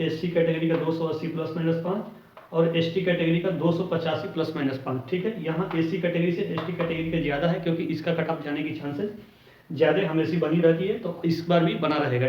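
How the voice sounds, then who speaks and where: High-voiced man teaching, echoey room. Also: slight background noise. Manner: repeatedly checks in with short tag words. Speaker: exam coaching teacher. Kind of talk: informal explanatory lesson. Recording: roomy, distant mic, dull lo-fi sound.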